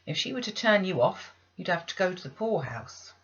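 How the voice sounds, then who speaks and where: Woman reader as firm nursemaid, storybook, admonishing yet kindly, husky, slightly dull recording. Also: fairly low pitch, very animated, normal speed, fairly bright tone, full character voice. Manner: bossy, admonishing. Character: brisk nursemaid, firm but not unkind.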